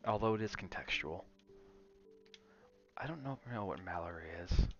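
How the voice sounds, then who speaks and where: A man's voice: deep voice, lively intonation, normal speed, fairly bright tone, lo-fi sound, soft background music. A man chatting casually on an ASMR gaming livestream, rambling and drifting between chat and game reactions. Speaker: game streamer.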